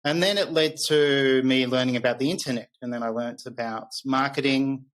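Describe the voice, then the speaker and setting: A man's voice: medium pitch, fairly even intonation, moderate pace, fairly bright tone. A middle-aged man with an Australian accent telling personal stories conversationally as a podcast guest, candid and reflective.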